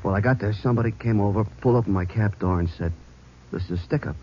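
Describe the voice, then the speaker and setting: An actor playing a jumpy, talkative working-class American cab driver in an old radio drama, with a husky voice and emphatic, repetitive, colloquial speech. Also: male voice, on the low side, quick pace, slight background noise, lightly acted. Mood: shaken, chatty. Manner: colloquial, emphatic, repeats himself. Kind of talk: 1950s American radio police drama acting. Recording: muffled old radio recording.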